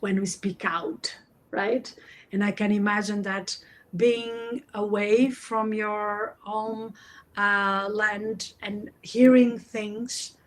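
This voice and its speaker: A woman's voice: medium pitch, little pitch movement, slow pace. A woman from Portugal, hosting a live podcast over a video call, talking earnestly and searching for her words. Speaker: podcast host.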